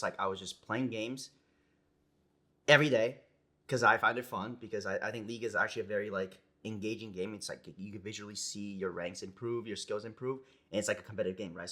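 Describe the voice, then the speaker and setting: A young American man, a pro gamer, chatting casually in an interview, relaxed and rambling with lots of "like" and "you know". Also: on the low side, fairly quick. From United States. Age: young adult. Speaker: esports player guest.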